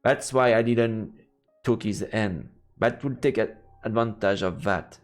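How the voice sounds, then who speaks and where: A man's voice: on the low side, a little slow, faint music behind. A man live-streaming a game, reading its narration aloud and adding murmured, playful asides. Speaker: let's play streamer.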